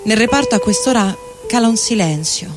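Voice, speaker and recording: A man's voice: sits high, animated, brisk. A man acting a tormented middle-aged lover in an anguished, literary theatrical monologue. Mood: desolate, tormented. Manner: anguished, dramatic, literary delivery. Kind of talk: theatrical literary monologue reading over music. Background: electric guitar and loops.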